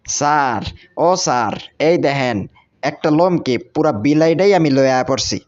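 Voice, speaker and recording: A man's voice: fairly high pitch, moderate pace, lightly acted. A man with a Bangladeshi accent voicing a cheeky young mouse pupil in a comic cartoon dub. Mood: eager. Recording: muffled lo-fi audio with clear noise.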